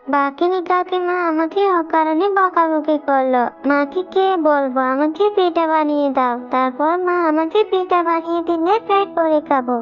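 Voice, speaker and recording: Very high-pitched pleading little girl. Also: moderate pace. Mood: hurt, indignant. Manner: childlike, whining, pleading. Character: pleading, sensitive little daughter. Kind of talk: children's cartoon voice acting. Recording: dull lo-fi audio, children's music behind. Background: children's music.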